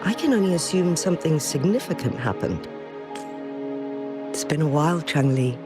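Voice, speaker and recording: A calm, courteous elder of a fantasy game village played by a man. The voice is fairly high, formal and unhurried, with music underneath. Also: lightly acted. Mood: welcoming. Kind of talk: game voice acting.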